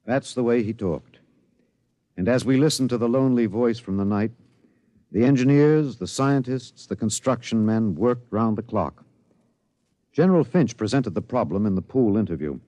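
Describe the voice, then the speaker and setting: An American man playing an earnest radio newsman, reporting gravely and formally in a 1950s radio drama. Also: medium pitch, animated, normal speed, mellow, close to an everyday voice. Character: earnest network radio newsman narrating a space rescue. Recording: faintly noisy 1950s radio recording.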